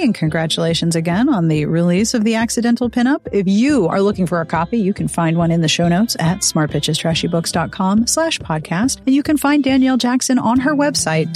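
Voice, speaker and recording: A woman's voice: medium pitch, darkish tone. A woman hosting a podcast, playful and jokey as she wraps up the episode. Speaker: podcast host. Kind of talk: podcast interview and outro.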